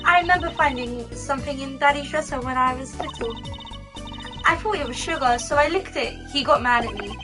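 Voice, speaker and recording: Woman voicing a high, perky teenage girl sidekick. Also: brisk, music in the background, lightly acted. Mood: curious. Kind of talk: video game character read-aloud.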